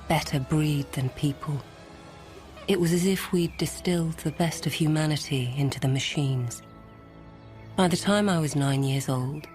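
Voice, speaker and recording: A woman's voice: low voice, darkish tone, natural voice. A reflective adult narrator played by a woman recalls childhood in a radio play. The delivery is scripted storytelling, with music faintly behind the voice.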